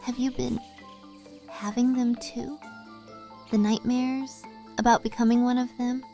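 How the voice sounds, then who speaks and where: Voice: medium pitch, little pitch movement, unhurried, dark tone, soft background music, pitched above the performer's own voice, close to an everyday voice. A performer voicing a young, inquisitive, polite girl in a fantasy audio drama, earnest and questioning. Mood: curious.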